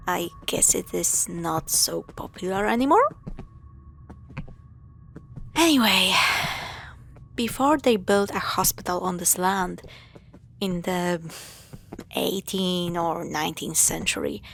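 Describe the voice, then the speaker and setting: Slow-talking woman acting a teasing young girlfriend. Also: medium pitch, gravelly, slight background noise. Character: teasing, affectionate young girlfriend exploring a spooky place. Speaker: audio roleplay voice actor. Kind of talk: intimate scripted audio roleplay.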